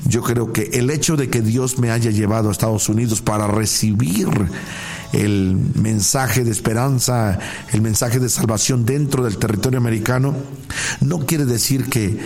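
A man's voice: medium pitch, a little mellow. A man from Puebla with a Mexican accent, talking casually as a pastor hosting a live broadcast, effusively blessing listeners and repeating his phrases for emphasis. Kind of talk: casual live talk, greeting listeners and reading messages.

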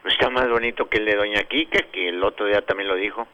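Mexican guy as a teasing sidekick, deep punchy bright voice, jokey banter. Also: quick pace, clear, resonant tone, no echo, highs cut off. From Zacatecas, Mexico. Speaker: show sidekick. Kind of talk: comic banter.

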